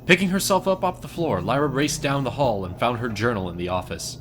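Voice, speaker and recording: A man's voice: mid-range voice, lively intonation, a little fast, a bit bright, a little hiss or hum. A man reading scripted story narration as the narrator of an audio drama.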